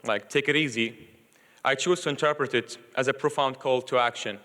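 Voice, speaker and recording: A young man from Libya, giving a loud, earnest graduation speech on behalf of his classmates in a large auditorium. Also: mid-range voice, fairly even intonation, normal speed. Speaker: graduate speaker. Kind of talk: formal commencement speech. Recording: long reverb of a large auditorium.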